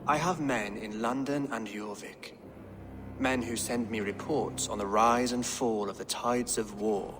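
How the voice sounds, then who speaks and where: An actor playing a shrewd, pious Saxon king who speaks formally and with measured eloquence in a video game. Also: medium pitch, steady tone, background noise, close to an everyday voice. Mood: measured, guarded. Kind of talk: dramatic video game dialogue.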